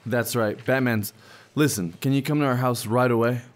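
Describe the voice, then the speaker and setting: A man's voice: mid-range voice, normal speed, close to an everyday voice. An actor playing an anxious young male sidekick in an old-time radio serial. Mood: upset, urgent.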